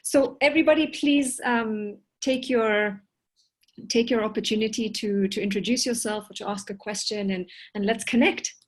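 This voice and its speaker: A woman's voice: fairly high pitch, moderate pace. A woman hosting a video call meeting, calling on participants and giving friendly, slightly hesitant instructions. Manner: organizing, friendly, a little hesitant. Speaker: host. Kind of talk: moderating an online meeting.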